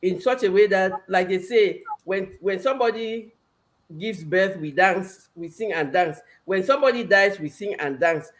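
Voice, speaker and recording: A woman's voice: medium pitch, moderate pace. Female guest, Cameroonian accent, mid-range voice, explains things conversationally and emphatically. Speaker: talk show guest.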